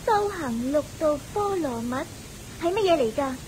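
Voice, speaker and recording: An actress playing an eager, respectful young celestial maiden in a Hong Kong Cantonese radio drama, earnest and inquisitive. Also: female voice, animated, normal speed, a little mellow, rough recording. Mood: eager, curious. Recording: hissy, rumbly old radio recording.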